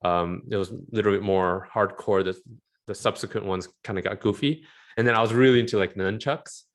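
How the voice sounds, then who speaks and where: Low-voiced animated man in his forties. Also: brisk. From Southern California. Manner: animated, rambling, laughs often. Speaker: interview guest, film concept artist. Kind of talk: casual interview chat.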